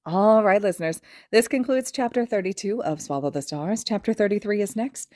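A woman's voice: mid-range voice, a little fast, dark tone, no room sound, clean studio sound. A woman reading a story aloud as a podfic narrator, in scripted fiction narration.

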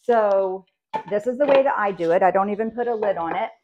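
A woman's voice: mid-range voice, fairly quick, dull audio, noisy background. A woman, chatting practically as she preps food in her home kitchen. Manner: friendly, practical, talks while working. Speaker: cooking channel host. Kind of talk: casual kitchen how-to.

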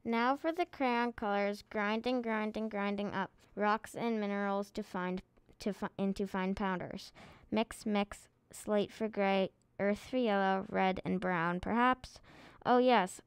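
A woman's voice: mid-range voice, steady tone, measured pace, smooth, no room sound. A female reader narrating a children's book aloud from the text. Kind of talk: scripted read-aloud narration.

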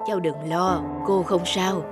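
A woman voicing a kindly, self-effacing middle-aged mother in a cartoon, gentle, grateful and reassuring. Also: fairly low pitch, fairly even intonation, unhurried, music in the background, natural voice. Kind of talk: cartoon dialogue acting.

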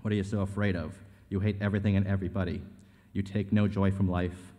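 A man plays a scornful ghost from the grave in a staged script reading. The voice is low and dark, profane and bullying, ringing in a long hall reverb. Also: level tone, rapid-fire, close to an everyday voice. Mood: taunting, scornful. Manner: profane, taunting, contemptuous.

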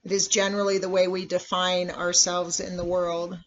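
A meditation guide speaking in a measured, instructional way with long pauses between phrases. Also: medium pitch, completely flat delivery. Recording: dull, lo-fi recording.

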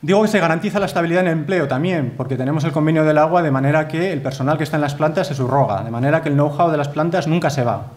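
A Spanish-accented man in his thirties lecturing at a conference, speaking into a desk microphone in a wood-panelled hall. His voice sits a little high for a man, and his delivery is earnest and emphatic, with frequent tag questions. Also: rapid-fire, few pauses, a little mellow. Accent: Spanish from Spain. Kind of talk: formal conference talk with slides.

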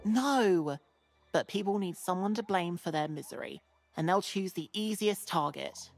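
A woman playing a gentle, earnest fen dweller in a video game, speaking in a sincere, measured way. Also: fairly low pitch, expressive, creaky, soft background music, full character voice. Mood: protective, firm. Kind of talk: video game character dialogue.

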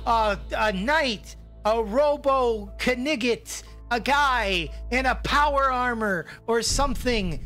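A woman voicing an excitable, scatterbrained space station host who chats casually and rambles in a flustered way. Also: a little high. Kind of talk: casual in-character host chat.

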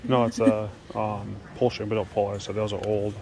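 Gravelly man chatting over hall noise. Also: fairly low pitch, level tone, normal speed. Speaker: auction vlogger. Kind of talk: casual chat while browsing. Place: large indoor auction hall. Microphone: handheld camera or phone. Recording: noisy hall, handheld camera audio. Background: clear hall noise.

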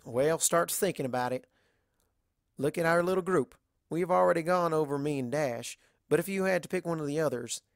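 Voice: medium pitch, normal speed, gravelly, dry recording, studio quality, pitched above the performer's own voice, close to an everyday voice. A narrator voicing a frank, pushy but affectionate mare pony with a blunt, folksy, coaxing manner in a storybook reading.

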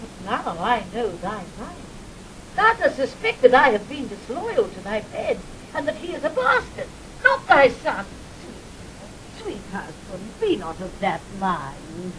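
A woman's voice: wildly swooping pitch, takes it slowly, a bit bright, exaggerated voice. An actress playing a fiercely protective old duchess and mother in a Shakespearean audio drama, with a British accent and theatrical, emotional delivery. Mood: pleading. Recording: rumbly, noisy vintage 1960s drama recording.

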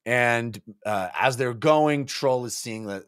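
A man's voice: mid-range voice, slow, fairly bright tone, dry recording. Man in his forties, dry close-miked chat. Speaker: co-host of a book club show. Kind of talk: casual chat recapping a story. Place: home office, on a video call. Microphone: desk microphone, close.

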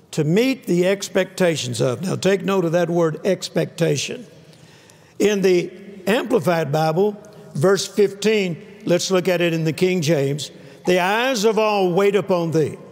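Older male preacher, high gravelly voice, emphatic and repetitive, lapel mic in a reverberant church hall. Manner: emphatic, repeating key phrases, calls for amens. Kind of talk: sermon. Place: large church hall with a stage and live audience.

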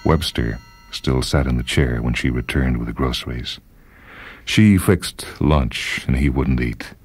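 A man's voice: very deep voice, a little slow, husky. A man narrating a literary short story dramatically, with music behind him.

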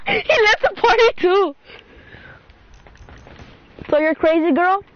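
Giggly high-pitched young woman gaming. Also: wildly swooping pitch, slow, dull audio, slight background noise. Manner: giggly, repeats herself, teasing. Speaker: gamer streaming with a friend. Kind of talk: casual gaming chat.

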